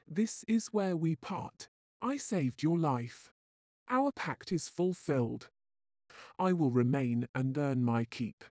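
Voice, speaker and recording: A mellow, unhurried synthetic female voice as a stoic fantasy warrior. She speaks formally, in a dry, close recording for an audio drama. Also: sits low, animated, no room sound. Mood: solemn.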